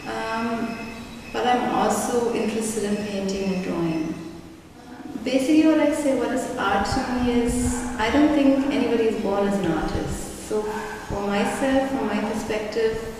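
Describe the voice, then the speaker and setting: A young woman, an artist talking reflectively in an interview, often pausing and restarting as she searches for words. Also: fairly high pitch. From Germany. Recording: echoey room, mic far off, background noise.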